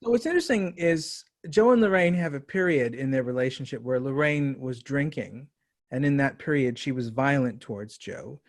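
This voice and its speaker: Medium-pitched male interviewer, measured phrasing. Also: animated. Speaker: interviewer, writer and director. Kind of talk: conversational interview questioning.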